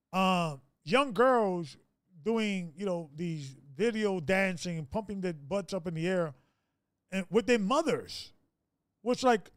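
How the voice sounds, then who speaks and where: A man's voice: sits high, no room sound, clean studio sound. A man in his fifties hosting a casual group discussion, preachy and rambling, with many restarts. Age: 50s.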